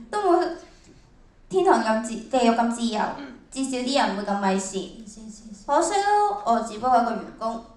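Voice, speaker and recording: A woman's voice: on the high side, a little mellow, distant mic, lightly acted. A teenage girl playing a frustrated, idealistic young angel in a radio drama, with dramatic, declamatory delivery. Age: teens. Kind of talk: stage radio drama acting. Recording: echoey hall, off-mic.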